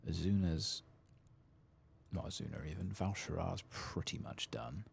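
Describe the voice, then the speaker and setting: A man giving soft-spoken, sometimes whispered ASMR gameplay commentary, murmuring and musing as if to himself. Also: low voice, measured pace, vocal fry, slight background noise. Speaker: game streamer.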